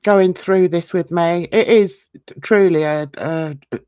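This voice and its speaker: A woman's voice: on the low side, a little slow, mellow, smooth, no echo. A woman hosting a casual livestream, rambling with many fillers, a bit under the weather with a cold. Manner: chatty, rambling, frequent fillers. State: coughing and sneezing. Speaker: livestream host.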